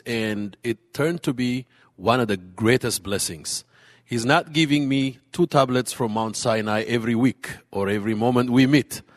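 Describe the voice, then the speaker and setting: Earnest halting pastor in a big hall. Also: male voice, mid-range voice. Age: late 30s. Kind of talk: panel discussion answer. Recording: hall reverb.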